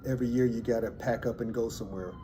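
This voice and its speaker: A man's voice: mid-range voice, steady tone, quick pace, background noise. A man from Alabama, a former Army Signal Corps officer, talking in a chatty, wry way with frequent ums. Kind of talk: recorded personal interview.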